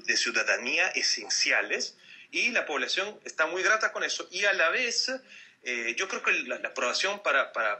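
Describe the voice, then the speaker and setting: A man's voice: a little high, sharp tone. A man from Italy giving political analysis as a radio interview guest over a Skype call, searching for words and repeating himself. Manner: halting, careful word-searching with repetitions.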